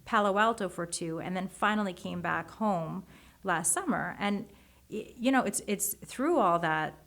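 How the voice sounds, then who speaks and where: A woman in her forties whose first language is Japanese, talking as an author in a casual interview, animated and often restarting her sentences. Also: medium pitch, a little slow, creaky. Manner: animated, many restarts and self-corrections. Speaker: guest, author.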